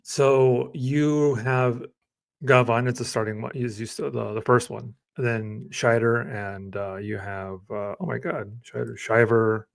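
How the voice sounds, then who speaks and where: Relaxed rambling male podcast co-host. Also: mid-range voice, unhurried, creaky. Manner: relaxed, rambling, filler-heavy. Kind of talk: casual podcast chat.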